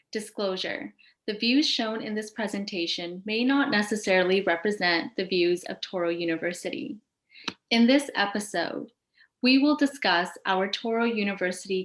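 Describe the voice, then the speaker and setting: Casting: female educational show host, scripted delivery, mid-pitched voice, echoey recording. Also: a bit bright. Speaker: host of a patient advocacy show. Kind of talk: scripted presentation with question prompts. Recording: echoey room sound.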